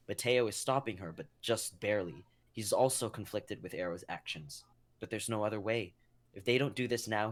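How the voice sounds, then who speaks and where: A young man serves as narrator of a scripted drama. His voice is fairly low as he reads out dramatic action. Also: a bit bright. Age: young adult.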